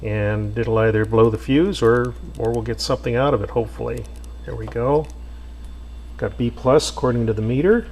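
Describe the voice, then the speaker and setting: A man restoring an old radio, talking casually to himself with lots of pauses and fillers. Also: medium pitch, a little hiss or hum. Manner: relaxed, thinking aloud with many fillers. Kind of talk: casual workshop narration.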